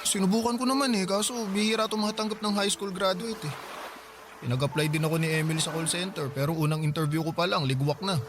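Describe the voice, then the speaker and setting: A man's voice: high voice, fast, background noise, lightly acted. An actor playing a hard-up, defensive young Filipino man pleading with his older sister in a radio drama. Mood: downcast. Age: young adult.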